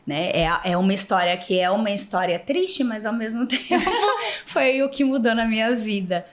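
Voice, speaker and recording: A woman from Minas Gerais with a Mineiro accent, a podcast guest telling lively stories and often laughing. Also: fairly high pitch, moderate pace. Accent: Mineiro Brazilian Portuguese. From Minas Gerais, Brazil. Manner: animated, laughs often, acts out past dialogue. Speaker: podcast guest, former flight attendant. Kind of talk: casual interview storytelling.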